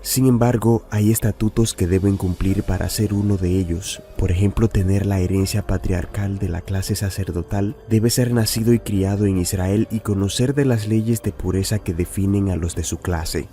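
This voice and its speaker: A man reading a scripted religious news report in a measured, even way. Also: on the low side, soft background music. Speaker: narrator. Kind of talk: scripted narration.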